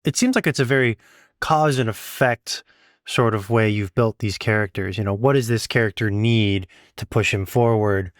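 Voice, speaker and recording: A man with a slightly low voice speaks as an interviewer in a dry, close-sounding recording. He is conversational and reflective, summing up ideas and posing rhetorical questions as he goes. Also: moderate pace, no room sound.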